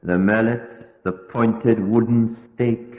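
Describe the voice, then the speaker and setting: Grave scientist-hunter, low and deliberate, radio horror play, echoing narrow-band sound. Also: male voice, fairly even intonation. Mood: solemn, firm. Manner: solemn, deliberate, formal old-world phrasing. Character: grave older foreign vampire hunter and scientist, steadfast and pious. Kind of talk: old-time radio drama acting. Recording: vintage radio, tinny narrow band, echoey hall reverb.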